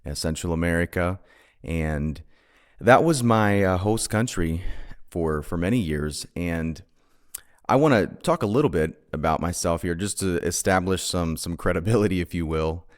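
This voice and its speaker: A man with a very low, deep voice hosts his own podcast. He talks off the cuff, looping back and repeating words as he gathers his thoughts. His tone stays earnest and reflective. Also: normal speed. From Honduras. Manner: unscripted and rambling, with frequent repeated words and restarts. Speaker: podcast host. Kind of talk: solo podcast monologue.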